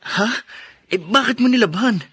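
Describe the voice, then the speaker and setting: A young man with a Filipino accent playing a tired, dutiful eldest son in a radio drama, gently reassuring. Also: very high voice, expressive, moderate pace, husky, full character voice. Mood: groggy, startled. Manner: reassuring, deferential.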